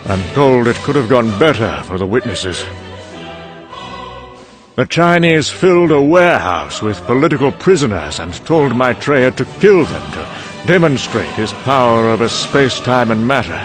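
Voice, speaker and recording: An actor playing a cynical, boozy British scientist delivering a sardonic, erudite monologue in an audio comic. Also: male voice, medium pitch, big pitch swings, moderate pace, music in the background, lightly acted. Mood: wry storytelling. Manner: sardonic, erudite, with sudden bursts of temper.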